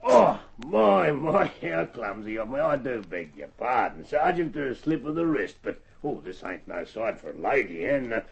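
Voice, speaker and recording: A man's voice: mid-range voice, very animated, normal speed, gravelly, hardly any pauses. An actor playing a crafty, bullying country man in an old-time radio drama, talking folksy and mock-polite. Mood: sly mock innocence. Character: crafty, bullying rural man feigning innocence. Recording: dull lo-fi old radio recording.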